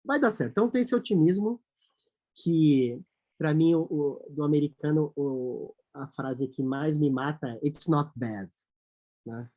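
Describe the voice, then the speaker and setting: A man from Brazil, a company CEO, talking as a guest in a casual interview, animated and acting out quoted voices. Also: a little high, a little slow.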